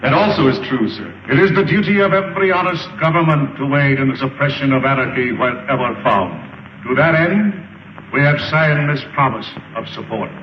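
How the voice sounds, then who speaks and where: A man acting a formal, dignified official in an old sci-fi serial, speaking in measured phrases. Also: mid-range voice, animated, background noise, lightly acted. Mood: solemn. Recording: echoey muffled old film soundtrack, music behind.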